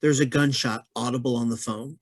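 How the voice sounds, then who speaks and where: A man's voice: medium pitch, fairly even intonation, a little fast, dry recording, studio quality. A man reading stage directions aloud as scripted narration.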